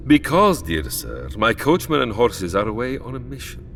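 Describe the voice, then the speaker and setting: An actor playing a sinister, courtly old vampire count with grave, theatrical politeness in an audio drama. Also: male voice, mid-range voice, huge pitch swings, moderate pace, vocal fry, fairly bright tone, faint music behind, exaggerated voice. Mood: smoothly evasive.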